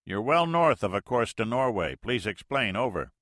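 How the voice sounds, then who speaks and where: A man's voice: lowish voice, big pitch swings, normal speed, a bit bright, no room sound, studio quality, pitched above the performer's own voice. A man reading an audiobook, voicing a US Navy patrol pilot who speaks in clipped radio protocol. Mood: terse.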